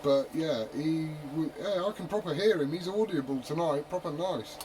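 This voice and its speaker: A man with a slightly high voice talks on CB radio through heavy noise. His chat is loose and slangy, with casual swearing. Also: moderate pace. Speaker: amateur CB radio operator. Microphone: CB radio set. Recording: noisy CB radio transmission, heavy static.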